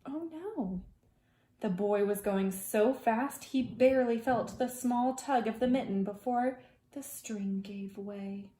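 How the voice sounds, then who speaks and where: A woman preschool teacher reading a children's story aloud in a dramatic way, with hushed asides to young listeners. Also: a little high, takes it slowly, roomy sound.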